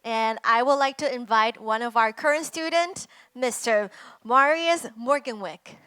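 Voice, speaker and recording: A woman's voice: fairly high pitch, measured pace, a bit bright, clean tone, no echo. A woman acting as MC at an event, making polite, formal introductions. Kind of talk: event hosting.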